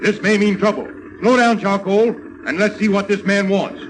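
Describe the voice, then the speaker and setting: Anxious father and leader, male actor, raised pitch, commanding and earnest, vintage radio serial. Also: normal speed, noisy background, close to an everyday voice. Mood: wary. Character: worried father, an authoritative leader called Doc. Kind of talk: old-time radio serial acting.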